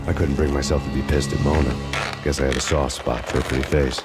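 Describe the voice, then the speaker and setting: An actor playing a grim, hard-boiled cop who narrates in a deadpan, world-weary voice-over over game music. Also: male voice, extremely low pitch, quick pace. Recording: game soundtrack music behind.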